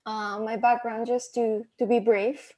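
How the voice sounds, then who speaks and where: A woman from Peru, a podcast host chatting as a guest, hesitant with many pauses and laughs. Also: fairly high pitch, level tone, darkish tone. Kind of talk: casual interview chat.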